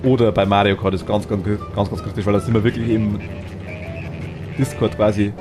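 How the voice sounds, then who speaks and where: A man's voice: on the low side, level tone, normal speed, over music. Chatty Bavarian-accented man streaming a game. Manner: chatty, easily laughing, enthusiastic. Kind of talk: casual chat while streaming a game.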